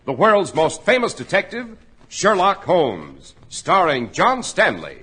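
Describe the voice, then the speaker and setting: A man's voice: sits high, big pitch swings, takes it slowly, very noisy. A man announcing and hosting in polished, emphatic 1940s radio style, reading scripted copy. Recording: old 1940s radio recording.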